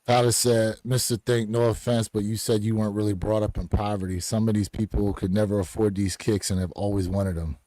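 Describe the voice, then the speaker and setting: A man's voice: on the low side, flat delivery, a little fast, few pauses, mellow, no echo. An American man hosting a casual livestream chat in a conversational, slangy way. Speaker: podcast host.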